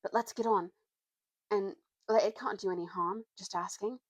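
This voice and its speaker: A woman voicing an earnest, secretive schoolboy in a story reading, speaking solemnly and haltingly in old-fashioned schoolboy slang. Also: mid-range voice, steady tone, husky, no echo, natural voice. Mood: solemn, thoughtful.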